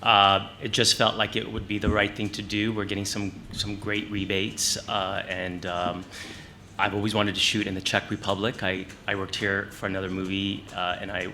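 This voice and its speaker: A film producer answering press questions in a joking way, with many "uh" fillers. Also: male voice, on the low side, level tone, moderate pace, pushed and bright, a little hiss or hum. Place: press conference hall.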